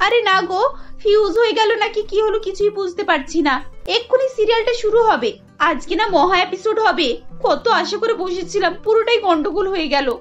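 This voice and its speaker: A woman's voice: very high voice, brisk, highs cut off, soft background music, voice pushed up. A woman voicing a young wife in a cartoon who is hooked on TV serials, talking in an eager, pleading rush. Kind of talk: cartoon voice acting.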